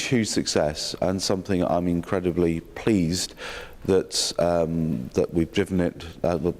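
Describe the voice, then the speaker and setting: A man with a slightly croaky voice giving a welcome speech at a health sector session, with dry self-mocking humour and many ums and restarts. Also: low voice, darkish tone. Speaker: opening speaker at a health sector learning session. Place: conference hall. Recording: long hall reverb.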